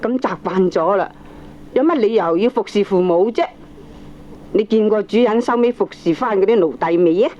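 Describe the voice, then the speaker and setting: An actress with a Hong Kong accent playing an opinionated middle-aged aunt, lecturing firmly and emphatically in a radio drama. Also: female voice, mid-range voice, moderate pace, mellow, lightly acted. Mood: preachy, assured. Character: opinionated aunt, firm, preachy family adviser. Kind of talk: Cantonese radio drama acting. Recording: dull, slightly noisy old cassette recording.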